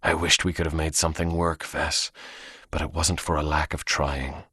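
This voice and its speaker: An actor playing a proud, theatrical vampire in a video game, speaking in a pompous, formal and dramatic way. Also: male voice, very deep voice, level tone, moderate pace, bright, forceful tone, husky, no echo, clean studio sound, full character voice. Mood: solemn, fond.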